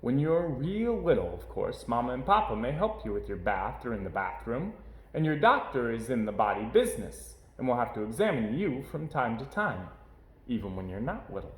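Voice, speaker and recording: Animated man reading a children's storybook. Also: medium pitch, moderate pace, roomy sound. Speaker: storybook reader. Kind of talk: animated children's read-aloud narration.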